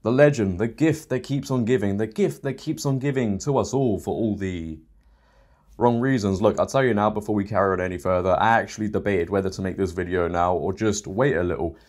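A man's voice: fairly low pitch, darkish tone. A young British man in his twenties giving mocking, sarcastic reaction commentary to the camera from a small home studio. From Britain. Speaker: commentary host.

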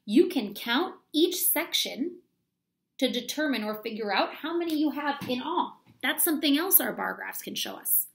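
Female elementary teacher, read-aloud for young children. Lively swooping pitch with creaky fry, deliberate and instructional. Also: slight background noise. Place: small plain room at home, indoors.

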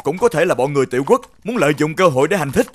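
A man voicing an alert, dutiful royal guard in an animated fairy tale. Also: fairly high pitch, very fast. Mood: urgent, dutiful.

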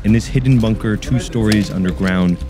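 A man reporting from the front line as a war correspondent, grave and measured. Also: on the low side, music in the background. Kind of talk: news narration and field reporting.

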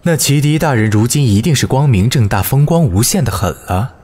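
An actor playing a proud, guarded former general turned steward in a historical audio drama, speaking curtly and formally. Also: male voice, medium pitch, lively intonation, a little fast, a little mellow, natural voice. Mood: cold, scornful. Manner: curt, formal.

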